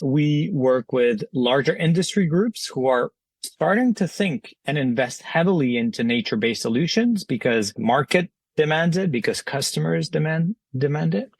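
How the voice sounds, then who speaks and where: A man in his thirties with a slight French accent, a native French speaker, explaining things in a measured interview answer with some fillers. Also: medium pitch, expressive, no echo. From France. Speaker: podcast guest.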